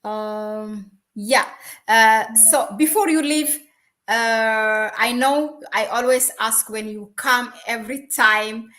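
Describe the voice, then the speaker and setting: Bright-voiced Ethiopian woman host. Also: a little high, slow. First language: Amharic. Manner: hesitant, many fillers and restarts. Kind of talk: casual interview hosting.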